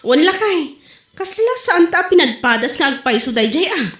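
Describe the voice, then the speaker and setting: A woman's voice: sits high, sing-song, normal speed, fairly bright tone. A woman with an Ilocano Filipino accent acting an eager, chatty village wife in an amateur comedy audio drama. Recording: roomy, echoing amateur podcast recording.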